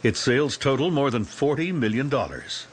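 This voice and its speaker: A man's voice: medium pitch, lively intonation, moderate pace, slightly rough. A man reading polished, upbeat promotional narration. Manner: polished, upbeat announcer delivery. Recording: voice-over over music.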